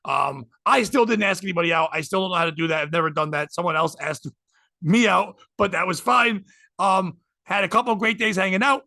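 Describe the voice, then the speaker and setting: A man's voice: high-pitched, fairly quick, vocal fry, sharp tone, no room sound, clean studio sound. A man in his forties, a podcast host, chatting casually and candidly, rambling and swearing freely. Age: 40s. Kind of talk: casual chat.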